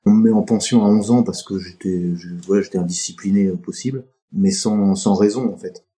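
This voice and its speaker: A middle-aged man from France's Atlantic coast, a podcast guest talking in a halting, reflective way that often pauses mid-sentence. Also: low-pitched, steady tone, dark tone, faint music behind. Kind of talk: casual interview talk.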